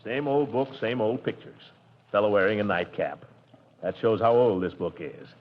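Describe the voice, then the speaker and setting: An actor playing Gildersleeve, a pompous, jovial middle-aged uncle, in a 1940s radio comedy, with a blustery, chuckling manner. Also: male voice, fairly low pitch, animated, moderate pace, lightly acted. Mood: reading aloud. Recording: dull old radio broadcast.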